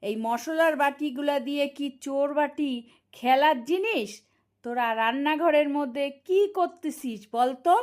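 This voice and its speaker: A woman's voice: high-pitched, normal speed, a little mellow, lightly acted. A woman voicing an exasperated aunt who scolds and complains in exaggerated cartoon dialogue. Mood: scolding.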